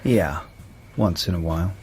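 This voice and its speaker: An actor playing a weary, stoical police detective in a radio drama, speaking in a laconic, understated way. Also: male voice, deep voice, moderate pace, darkish tone, husky.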